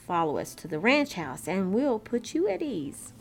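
A woman's voice: mid-range voice, sing-song, normal speed, soft background music. A woman voicing a friendly, grinning Texas cowboy in a storybook, with a folksy, hearty manner.

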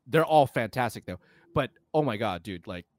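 A man with a fairly ordinary mid-pitched voice co-hosts a casual podcast. He talks with emphatic enthusiasm, leaning on slang and the odd swear word. The recording is dry, with hardly any room sound. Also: expressive, normal speed, darkish tone, no echo. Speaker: podcast co-host. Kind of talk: casual conversational film and game chat.

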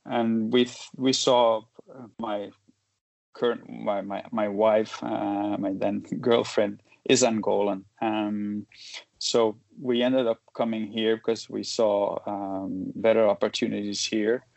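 A man in his forties, raised in Belgium, speaks in a low, gravelly voice that stays fairly flat in tone. He talks haltingly, restarting sentences and filling gaps with "uh" and "um", and chuckles now and then. The sound is dry and close, with little room echo. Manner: halting, many restarts and fillers, chuckles while talking. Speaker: guest, photojournalist. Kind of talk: casual interview conversation.

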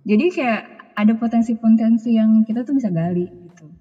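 Husky woman, dull echoey recording. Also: on the high side, a little fast. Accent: Indonesian, Jakarta colloquial. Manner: informal, mixes in English phrases. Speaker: podcast guest, musician and vocal coach. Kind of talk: casual interview chat. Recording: dull, echoey hall sound, music behind.

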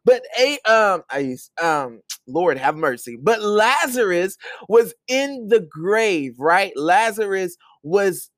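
A man's voice: high voice, expressive, takes it slowly, a bit bright, no room sound, clean studio sound. A man hosting a podcast, giving an earnest, sermon-like talk of encouragement from his bedroom, repeating phrases for emphasis. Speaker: podcast host.

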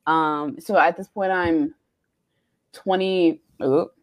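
A young woman in her twenties co-hosting a faith podcast, telling personal stories candidly with frequent pauses and ums. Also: low-pitched, steady tone. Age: young adult. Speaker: podcast co-host. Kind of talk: casual chat.

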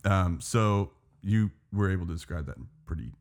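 A man with a very low, gravelly voice talks in a relaxed, casual podcast chat. His pitch rises and falls a lot as he explains things, and he drops the odd swear word. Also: normal speed. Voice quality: deep voice. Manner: laid-back, swears casually, laughs often. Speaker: podcast co-host.

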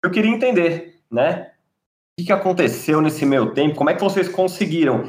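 A man's voice: a little high, expressive, normal speed, a little mellow. A man hosting a podcast, chatty and enthusiastic, talking over a remote call. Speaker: podcast host.

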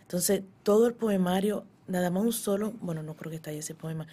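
A middle-aged woman speaks with a Dominican accent in a fairly low voice. She is a guest being interviewed, recounting her experiences in a casual, conversational way. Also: a little fast, warm tone. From born in the Dominican Republic, emigrated to the US at ten. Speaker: guest, poet and actress.

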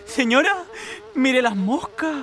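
An actress playing a timid, deferential housemaid from the rural south in a radio drama, with a Chilean accent and a hesitant, stammering delivery. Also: female voice, high voice, very animated, takes it slowly, fairly bright tone, heavily acted. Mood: nervous, confiding. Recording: radio drama with music underneath.

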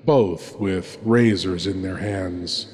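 A man playing a sinister gentleman horror host, theatrical and scripted, with drawn-out dramatic pauses. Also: low-pitched. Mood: wry, ominous. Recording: long cavernous reverb.

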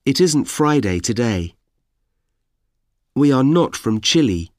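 A man reading example sentences for language learners, deliberate and evenly paced. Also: mid-range voice, very animated, no echo, clean studio sound. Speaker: course audio speaker. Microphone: dry studio mic.

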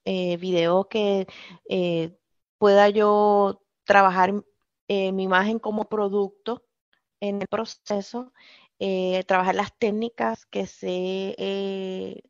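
Puerto Rican woman guest, slow and halting speech, dry and dull-sounding line. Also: mid-range voice, creaky, darkish tone, dry recording. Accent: Puerto Rican Spanish. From Puerto Rico. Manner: hesitant, many filler sounds. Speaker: podcast guest. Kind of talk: casual interview chat.

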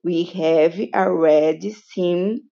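A woman reading academic prose aloud in a halting way, in a lo-fi voice message. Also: fairly low pitch, fairly even intonation, gravelly, mellow. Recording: dull lo-fi voice message.

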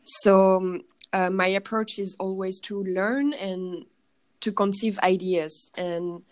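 A woman with a French accent, a guest in a casual interview, often pausing and searching for words. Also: medium pitch, fairly even intonation. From France.

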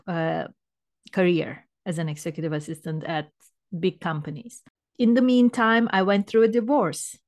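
Middle-aged turkish lady, lower voice, lots of uh and um while telling her story. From Turkey. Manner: hesitant, many fillers and restarts. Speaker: guest coach. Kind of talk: personal story in interview.

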